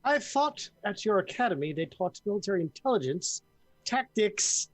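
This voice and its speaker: A man's voice: high voice, very animated, normal speed, dry recording, soft background music. A man playing a skeptical male companion at a tabletop game, asking pointed questions. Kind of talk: in-character tabletop roleplay.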